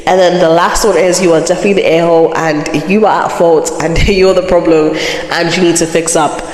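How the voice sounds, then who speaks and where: A woman's voice: on the low side, steady tone, a little fast, a little mellow. A woman hosting a crochet channel, chatting with a blocked, nasal voice from a cold, reacting animatedly and laughing in disbelief. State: has a cold, blocked nose. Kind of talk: chatty reading and reacting to online posts. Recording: echo effect with long reverb.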